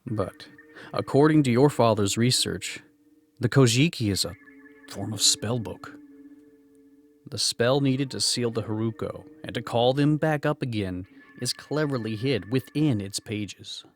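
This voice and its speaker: An actor playing a calm, scholarly occult investigator who talks in a measured, thoughtful way. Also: male voice, mid-range voice, faint music behind. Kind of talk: fan manga dub.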